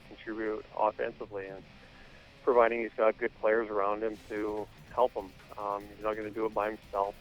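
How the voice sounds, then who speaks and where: A man with a fairly low voice gives a phone interview as a hockey coach. He speaks in a measured, hesitant way, feeling for his words. Faint music runs behind him. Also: highs cut off.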